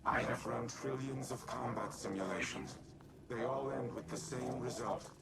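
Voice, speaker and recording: A man playing a noble, stoic artificial intelligence in a video game cutscene, speaking formally and deliberately. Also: mid-range voice, vocal fry, lightly acted. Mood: solemn, resigned. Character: solemn, noble male artificial intelligence war machine. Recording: distant voice under loud effects.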